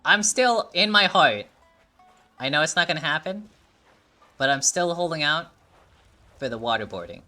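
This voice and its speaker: A man's voice: a little high, sing-song, normal speed, pauses often, bright, forceful tone, soft background music. A man giving rambling, casual commentary while playing a story game. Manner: rambling, chatty, swears casually. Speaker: game streamer.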